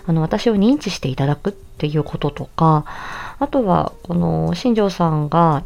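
A woman's voice: sits low, mellow, dull audio. A woman hosting a casual solo podcast, chatting politely and effusively. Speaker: podcast host and amateur voice actor.

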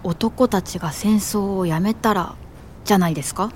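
A woman's voice: medium pitch, normal speed, darkish tone, slight background noise, close to an everyday voice. A woman playing a respectful, admiring young assistant who narrates and acts in a radio drama. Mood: concerned, respectful. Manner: polite, respectful.

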